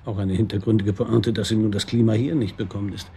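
An actor playing a wry, probing police detective in a radio drama, with a husky voice and a dry, colloquial manner. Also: male voice, on the low side, flat delivery, fast talker, dark tone, a little hiss or hum, close to an everyday voice. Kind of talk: naturalistic German radio drama acting.

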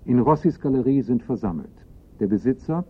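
An actor playing a sober narrator who sets the scene in scripted radio-play narration. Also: male voice, mid-range voice, animated, a little fast, husky. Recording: narrow, phone-like old radio recording.

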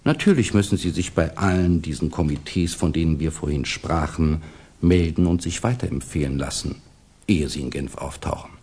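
An actor playing a suave, insinuating Russian official in a 1960s German radio drama, speaking with deliberate, false courtesy. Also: male voice, extremely low pitch, darkish tone, husky. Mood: smooth, insinuating.

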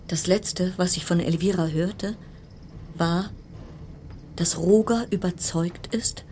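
An actress playing a brooding narrator in an absurd, dystopian radio play, with deliberate, incantatory phrasing over a noisy background. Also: female voice, lowish voice, mellow. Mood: hushed. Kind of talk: literary radio play monologue.

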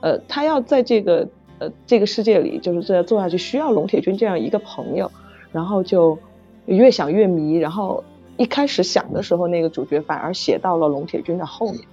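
A middle-aged woman author chatting casually about writing, halting and repeating phrases as she searches for words. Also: fairly low pitch, expressive, warm tone, husky. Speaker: novel author. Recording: dull lo-fi audio, music underneath. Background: background music.

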